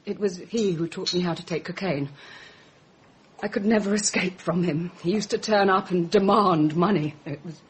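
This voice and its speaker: A woman's voice: on the low side, normal speed, slight background noise, close to an everyday voice. An actress playing a shaken, world-weary young society woman in a radio drama. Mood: weary confessing.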